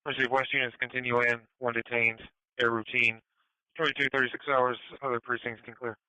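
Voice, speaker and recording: A man's voice: medium pitch, flat delivery, a little fast, pushed and bright, clear, resonant tone, no room sound. A man working as a police dispatcher, giving terse procedural instructions over lo-fi radio. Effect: radio transmission. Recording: muffled lo-fi radio scanner.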